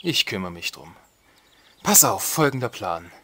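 An actor playing a flippant, bumbling medieval lord in a comedic audio drama, talking casually and sarcastically. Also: male voice, on the low side, very animated, unhurried, bright, forceful tone, slight background noise, full character voice. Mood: brisk, planning.

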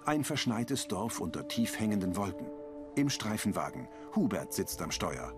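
A man calmly describing what happens on screen for a hearing version of a crime comedy. His voice has a lively melody, and music plays clearly beneath him. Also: fairly low pitch, normal speed. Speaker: audio description narrator. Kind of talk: scripted audio description.

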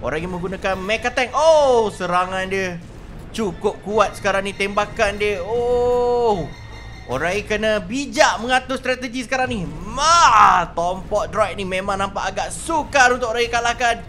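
A Malaysian man with a high-pitched, bright voice hosts a gaming video. He commentates in an excited, theatrical way, exclaiming and repeating himself as he role-plays through the game, with light music behind him. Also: moderate pace.

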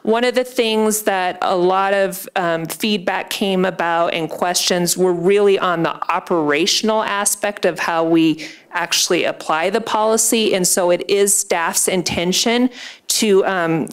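A woman's voice: fairly low pitch, a little slow, smooth. A middle-aged woman, a deputy superintendent, standing at a podium and briefing a school board on policy with many "um" fillers. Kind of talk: policy briefing at a public meeting. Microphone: podium microphone.

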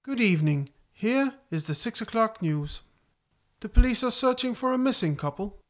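A man's voice: sits high, lively intonation, normal speed. A man playing a formal, composed newsreader, reading a scripted announcement in a radio play. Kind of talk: scripted news bulletin and credits reading.

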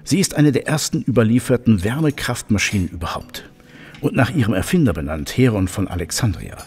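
An actor playing an enthusiastic, learned professor in an audio drama, lecturing with emphatic repetition. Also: male voice, lowish voice, lively intonation, brisk, darkish tone, slightly rough. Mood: fascinated. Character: enthusiastic, learned male professor of old machines. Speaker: technical expert, professor. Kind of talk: German audio drama dialogue.

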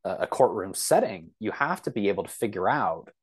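A man with a fairly low voice speaks as a guest on a podcast. He explains in an informal, conversational way, often thinking aloud and feeling for the right word. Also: big pitch swings, fairly quick, husky. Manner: thinks aloud, searching for words. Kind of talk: casual explanatory podcast talk.

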